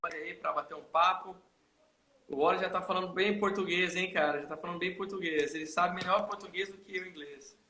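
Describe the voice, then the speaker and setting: A man hosting a live video chat who greets viewers by name and switches into halting English. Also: sits high, steady tone, bright, forceful tone, reverberant, a little hiss or hum, low-quality recording. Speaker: host.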